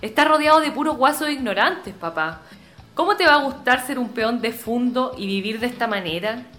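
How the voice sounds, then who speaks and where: Bright, insistent Chilean daughter played by a woman. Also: on the high side, animated, normal speed, soft background music. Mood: exasperated. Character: insistent, status-conscious doctor daughter pleading with father. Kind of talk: radio drama acting.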